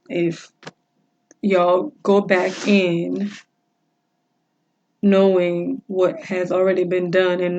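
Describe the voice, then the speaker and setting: A woman co-hosting a podcast, chatting in a relaxed, rambling way with lots of fillers. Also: mid-range voice, highs cut off. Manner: relaxed, rambling, with frequent "like" and "mm-hmm". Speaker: podcast co-host. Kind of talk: casual chat.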